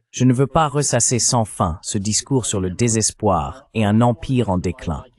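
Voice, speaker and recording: A man's voice: medium pitch, darkish tone. A man's AI-generated voice reading a translated political commentary in an explanatory, rhetorical manner. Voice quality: synthetic AI voice. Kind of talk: translated voice-over of a panel discussion.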